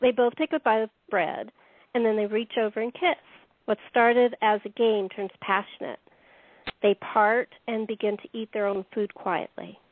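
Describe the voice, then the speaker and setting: A thin, phone-like recording carries a woman narrator. Her somewhat high voice reads the scripted stage directions of a radio play. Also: steady tone, normal speed.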